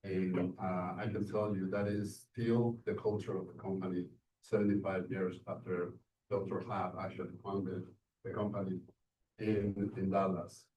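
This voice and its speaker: A man in his sixties from Mexico with a Mexican Spanish accent, giving a conference talk and speaking haltingly with many fillers and restarts. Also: low-pitched, level tone, warm tone. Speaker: transit industry speaker. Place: event room. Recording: roomy, distant mic with faint noise.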